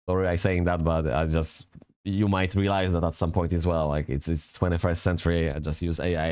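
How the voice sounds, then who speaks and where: A man with a Polish accent talks in a low, smooth, resonant voice. He rambles in loose, casual commentary, swears freely and keeps restarting his sentences. The sound is dry and close, with no room around it. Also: darkish tone, no echo. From Poland. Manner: swears often, rambling and self-correcting, chuckles. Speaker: vlogger reviewing a language app.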